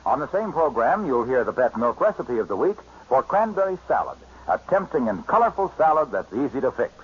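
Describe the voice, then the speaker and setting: Vintage American male announcer, scripted sponsor reads with lively melody and husky tone; dull 1950s broadcast sound. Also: mid-range voice, fairly quick. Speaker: radio announcer. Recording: muffled 1950s radio recording.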